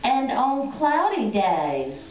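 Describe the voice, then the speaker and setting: A woman's voice: high voice, very animated, slow pace, background noise. A woman narrating a scripted children's story as the storyteller. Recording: echoey room, muffled, crowd sounds behind.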